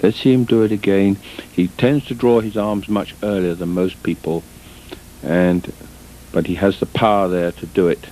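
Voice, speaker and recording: A man giving measured, deliberate instructional sports commentary as a coach, in short phrases broken by pauses, on an old VHS tape recording. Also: fairly even intonation, warm tone. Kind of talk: instructional film commentary over footage. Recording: noisy, muffled old VHS tape, rumble.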